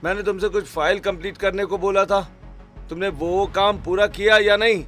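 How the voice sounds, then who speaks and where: A man's voice: sits high, fairly even intonation, normal speed, fairly bright tone, soft background music, voice pushed up. A man voicing a dismissive, irritable husband in a cartoon story, talking down to his wife. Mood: scornful. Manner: scornful, raised voice.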